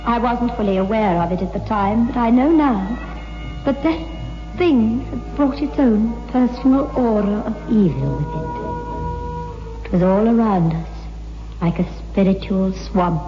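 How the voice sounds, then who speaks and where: A dull vintage radio recording with clear music behind. A woman plays a troubled wife in a horror serial, practical and coaxing, her voice fairly high. She speaks with dramatic foreboding. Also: measured pace, close to an everyday voice. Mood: ominous. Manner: dramatic, foreboding narration and pleading dialogue.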